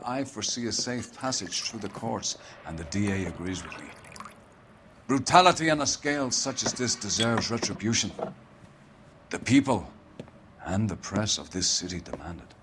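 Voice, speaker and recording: An actor playing a pompous, righteous police captain who speaks in grand, preacher-like oratory in a period crime game. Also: male voice, medium pitch, lively intonation, normal speed, fairly bright tone, slight background noise, lightly acted. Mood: grandiose praise. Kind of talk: dramatic 1940s period game acting.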